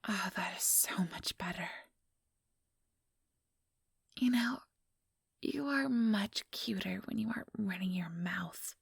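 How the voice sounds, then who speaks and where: A woman playing an ambitious vampire elder in a whispered ASMR roleplay, breathy, deliberate and patronizing, with cool menace. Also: medium pitch, no room sound, studio quality, exaggerated voice. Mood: smug, gloating.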